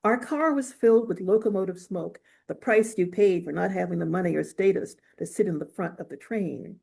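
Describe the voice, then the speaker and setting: A woman reading literary fiction aloud in a measured, expressive storytelling manner. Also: on the low side, vocal fry, slightly rough. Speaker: author reading from own novel. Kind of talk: audiobook-style literary reading.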